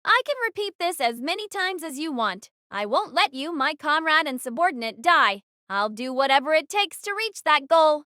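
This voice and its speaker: A woman's voice: high voice, sing-song, normal speed, hardly any pauses, pushed and bright, no room sound, studio quality, full character voice. A woman voicing a young female squad captain who gives firm, decisive orders in an audio drama. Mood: commanding. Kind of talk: fan audio drama acting.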